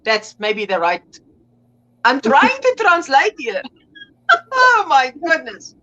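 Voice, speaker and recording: A woman's voice: high voice, wildly swooping pitch, takes it slowly, sharp tone, lo-fi sound. A woman with an Afrikaans accent, a native Afrikaans speaker, chatting as a guest on a livestream and often searching for the right English word. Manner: chatty, searches for words and restarts. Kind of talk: casual livestream chat.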